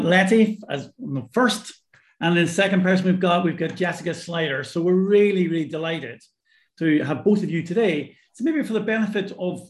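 A hoarse man co-hosting a podcast, welcoming guests and often repeating his words. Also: sits high, echoey room. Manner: warm, repeats words, restarts. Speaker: podcast co-host, psychology professor. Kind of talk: podcast interview.

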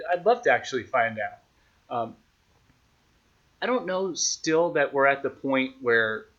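A man's voice: mid-range voice, fairly bright tone. Man in casual interview, dull audio. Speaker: radio play-by-play announcer, podcast guest. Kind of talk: casual conversational interview answers.

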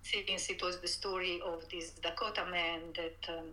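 Bright-voiced Italian-accented woman author. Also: lowish voice, little pitch movement, normal speed, rough recording. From Italy. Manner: laughs often while talking, frequent fillers and restarts. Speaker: author guest. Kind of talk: interview conversation.

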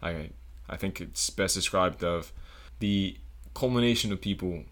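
Very deep-voiced young man, hesitant. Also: measured pace, fairly bright tone. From South Florida. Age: young adult. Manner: thoughtful, hesitant, many ums. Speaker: podcast guest, engineering student. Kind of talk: casual podcast interview.

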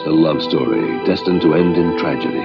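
A man's voice: expressive, brisk. A man narrating a dramatic TV miniseries promo, portentous and suspense-building. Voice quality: deep, gravelly announcer voice. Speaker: trailer announcer. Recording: dull lo-fi TV audio. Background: loud dramatic music.

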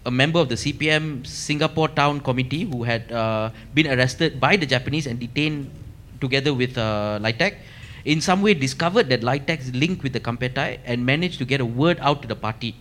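A man with a Malaysian English accent, co-hosting a podcast and narrating history from notes in a halting, restarting way. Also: mid-range voice, a bit bright. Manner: reads history in bursts, stumbling and restarting, laughing easily. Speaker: podcast co-host presenting the story.

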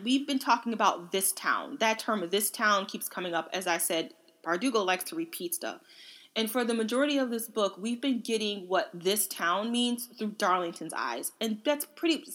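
A woman's voice: mid-range voice, a bit bright. A woman hosting a book podcast, casually recounting and analyzing a story in a conversational way, informally acting out the odd quote. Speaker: book reviewer and podcast host.

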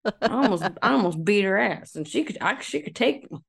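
A woman's voice: mid-range voice, lively intonation, a little fast, creaky, husky. A woman co-hosting a podcast, telling stories casually with a lot of swearing and joking. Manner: profane, joking. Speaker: podcast co-host. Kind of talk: casual chat and comic storytelling.

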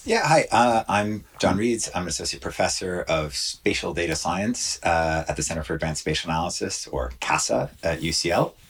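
A man who is a professor and podcast guest, talking in a relaxed way with wry asides. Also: low-pitched, moderate pace, few pauses, husky. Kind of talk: casual podcast chat.

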